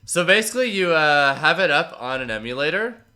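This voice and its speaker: A man with a stuffy, congested voice from a cold, chatting casually with his stream viewers and reading out their messages in a relaxed, rambling way. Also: a little high, brisk. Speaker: streamer analyzing tournament matches.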